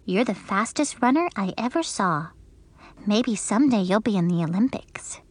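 A small schoolboy murmurs in a soft, breathy, awed tone, as a woman voices him. He is lower and quieter than the girls around him. The reading is dry and close, like a storybook audiobook. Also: expressive, normal speed, no echo, close to an everyday voice.

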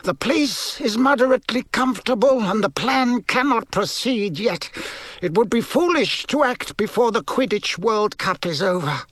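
High, icy, menacing dark lord. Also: male voice, animated, moderate pace, no room sound. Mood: scornful, impatient. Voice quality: high-pitched, icy cold. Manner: imperious, commanding, contemptuous. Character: cold, menacing, high-voiced male dark lord, weary and imperious.

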